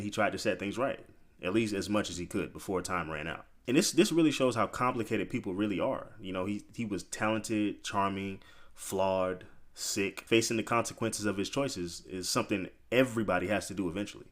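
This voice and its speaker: Male storyteller, lowish voice, informal and opinionated, for biographical commentary that talks straight to the viewer. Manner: informal, opinionated, speaking directly to the listener. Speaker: narrator and commentator of a biographical video. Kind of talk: storytelling commentary, scripted narration with conversational asides.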